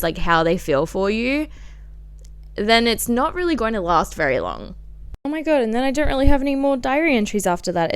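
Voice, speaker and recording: A woman's voice: mid-range voice, clean tone. A woman in her twenties with an Australian accent, telling personal stories in a candid, casual podcast style full of "like" and informal slang. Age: 20s. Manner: candid, informal, liberal filler "like" and swearing. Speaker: podcast host. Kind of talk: casual chatty solo podcast storytelling.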